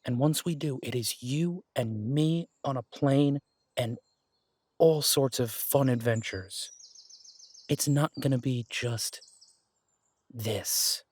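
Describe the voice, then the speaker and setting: A steady detective who protects the one he loves, acted by a man, speaking slowly in a husky, mid-pitched voice. He soothes and pleads rather than shouts, close to a dry mic. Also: dry recording. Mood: tender. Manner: soft and reassuring, pleading, peacemaking. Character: steady, protective male detective, tender with his partner. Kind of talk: audio roleplay drama acting.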